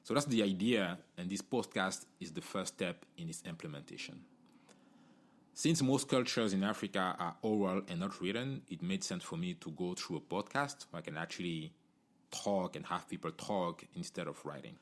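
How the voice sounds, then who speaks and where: A man's voice: low voice, normal speed. A middle-aged man from Cameroon, hosting a solo podcast in an earnest, conversational way, often tagging "you know" and "right?". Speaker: podcast host.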